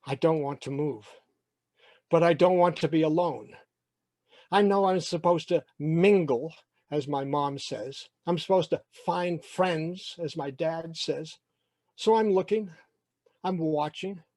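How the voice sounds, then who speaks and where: A man performs a lonely, anxious boy of twelve in a live-storytelling style. The voice sits fairly high and the recording is dry. The words come in halting, repeated little phrases, as if pleading with himself. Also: darkish tone, no echo, lightly acted. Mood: anxious, wistful. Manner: halting, repetitive inner monologue. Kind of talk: first-person present-tense storytelling.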